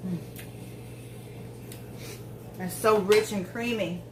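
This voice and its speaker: A woman's voice: medium pitch, fairly even intonation, takes it slowly, echoey room, far from the mic, noisy background. A woman in her forties chatting casually while she cooks at home, rambling through tangents with lots of ums. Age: 40s. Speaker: home cook vlogger. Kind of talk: casual chat while cooking. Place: home kitchen.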